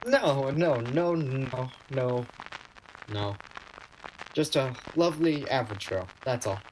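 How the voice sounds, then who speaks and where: Woman playing a very low-voiced, flustered male shop clerk. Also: animated, darkish tone, a little hiss or hum, heavily acted, low-quality recording. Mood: lovestruck, nervous. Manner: flustered, halting, stammering. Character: prickly, nervous, lovestruck male shop clerk. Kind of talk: virtual radio play acting.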